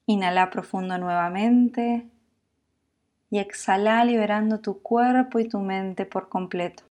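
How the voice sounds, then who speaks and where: Very slow Latin American woman guiding meditation. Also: mid-range voice. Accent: Latin American Spanish with voseo. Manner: calm, soothing, unhurried instruction with long pauses. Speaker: yoga nidra meditation guide. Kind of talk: guided sleep meditation.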